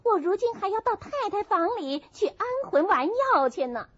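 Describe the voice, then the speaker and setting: A woman voicing a bossy, sharp-tongued young maidservant in an audiobook, scolding indignantly, in a muffled recording. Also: extremely high pitch, very animated, normal speed, voice pushed up, exaggerated voice. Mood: indignant, urgent.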